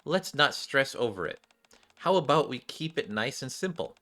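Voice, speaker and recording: A man's voice: mid-range voice, sing-song, normal speed, bright, forceful tone. A man voicing a confident, encouraging woman mentor from a game, reassuring and direct.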